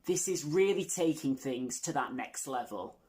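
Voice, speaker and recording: A woman's voice: a bass voice, animated, normal speed, a little mellow. A woman hosting a video channel, making a casual announcement to viewers with tongue-in-cheek, self-deprecating humour.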